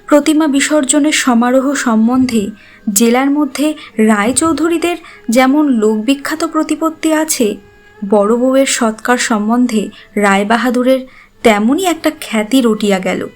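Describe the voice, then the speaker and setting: A woman's voice: sits high, normal speed. A woman reading literary narration as the storyteller of an audio drama. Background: background music.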